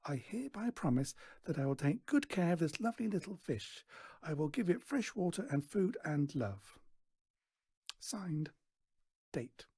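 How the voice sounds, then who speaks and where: An earnest little fairground boy from a storybook, put on by the narrator. He sounds gentle and polite, with a raised pitch and a slightly husky tone. His manner is sincere and innocent. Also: big pitch swings, unhurried, no echo, exaggerated voice.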